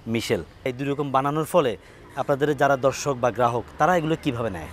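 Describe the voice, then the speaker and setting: দ্রুত কথা বলা পুরুষ সাক্ষাৎকারগ্রহীতা. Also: male voice, medium pitch, fast talker, a little hiss or hum. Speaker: interviewer. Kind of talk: interview questions.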